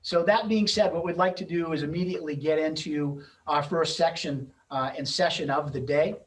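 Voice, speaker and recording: A man's voice: on the high side, normal speed, recorded from a distance. A middle-aged man speaking as the host and moderator of an event, in a semi-formal, loosely scripted introducing style on a video-call microphone.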